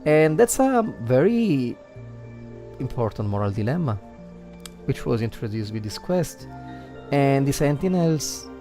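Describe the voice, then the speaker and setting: A man's voice: mid-range voice, very animated, a little slow, pauses often, mellow, soft background music. A man with an Italian accent, a native Italian speaker livestreaming casual game commentary, thinking aloud and searching for words. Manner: hesitant, searching for words, reflective.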